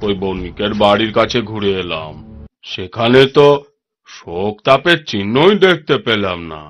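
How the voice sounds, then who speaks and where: A man's voice: on the low side, animated, measured pace. A man playing a deferential bandit scout in a cartoon, addressing his boss. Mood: puzzled. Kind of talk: cartoon voice acting in Bengali. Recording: dull, band-limited audio under music.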